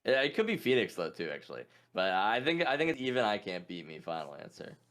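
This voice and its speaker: A man chatting casually as a quiz contestant, musing out loud in an informal way. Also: on the low side, sing-song, fast. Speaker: guest contestant, gaming YouTuber. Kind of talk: casual chat, thinking aloud.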